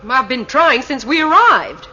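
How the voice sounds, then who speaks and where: A woman's voice: high-pitched, very animated, measured pace, pushed and bright, husky, lo-fi sound, heavily acted. An actress playing a commanding, businesslike female starship captain in a radio drama. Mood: questioning. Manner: authoritative, businesslike.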